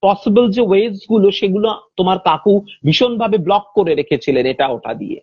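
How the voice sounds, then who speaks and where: A woman with a slightly breathy, husky voice tells eerie real-life stories as if chatting. She takes her time over details and quotes the people involved. The recording is a little dull and lo-fi. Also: mid-range voice, expressive, no echo. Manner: unhurried, detailed, acting out quoted speech. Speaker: host of a supernatural story chat. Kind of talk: conversational retelling of real-life ghost stories.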